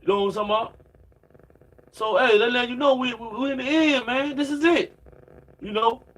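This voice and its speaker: A man's voice: very high-pitched, normal speed, a bit bright, low-quality recording. A man in his late thirties preaching informally and reading scripture, rambling in slang and padding nearly every sentence with "man" and "you know what I'm saying.". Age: 30s. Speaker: religious preacher.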